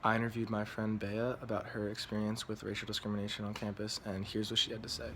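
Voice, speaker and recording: A young man, a student, narrating an interview segment in a measured, earnest way. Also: lowish voice, completely flat delivery, sharp tone, slight background noise. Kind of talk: scripted narration.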